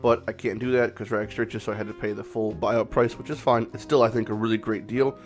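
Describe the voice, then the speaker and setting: A man with a slightly low voice, made raspy and hoarse by a cold, talks through his game in a loose, rambling way, dropping the odd swear word and correcting himself mid-sentence. A little background music plays quietly under him. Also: level tone, quick pace. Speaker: gaming channel host. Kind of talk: casual game commentary vlog.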